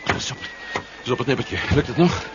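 A man's voice: mid-range voice, fairly quick, lightly acted. An actor playing a bold, wry, decisive man of action in a 1970s science fiction radio play. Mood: strained. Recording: muffled recording under loud vehicle noise.

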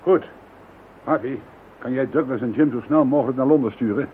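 A man's voice: medium pitch, brisk, close to an everyday voice. Een man die in een hoorspel een sceptische, kordate hoofdinspecteur van politie speelt. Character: gruff skeptical male police chief inspector. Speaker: chief inspector. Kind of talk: radio play acting. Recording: lo-fi phone-band radio recording with faint hiss.

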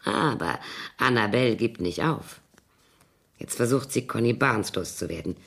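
Casting: a scheming, boozy old nanny, gravelly and raspy, theatrical and brooding, for a radio thriller. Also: female voice, very deep voice, animated, a bit bright, heavily acted. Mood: grim, secretive. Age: older. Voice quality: husky, creaky. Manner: brooding, halting, theatrical delivery dropping into whispers. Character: tipsy, scheming old former nanny writing a confession. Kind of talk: radio crime drama acting.

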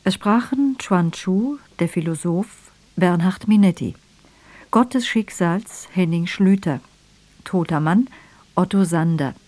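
A woman reading scripted radio credits in a measured, formal announcer's manner. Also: on the low side, a little mellow, dry recording. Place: dry radio studio.

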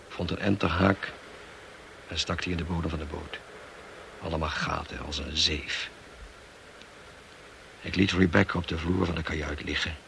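An actor playing a tormented, wealthy husband in a radio drama, grave and intense. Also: male voice, deep voice, vocal fry, fairly bright tone. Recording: noisy old radio play recording.

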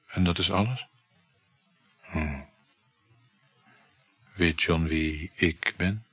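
Insecure, low-voiced jealous lover. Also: male voice, extremely slow, fairly bright tone, breathy edge, soft background music, exaggerated voice. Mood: probing. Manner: hesitant, questioning, muttering asides. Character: insecure, jealous married lover probing his mistress. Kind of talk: naturalistic radio play acting.

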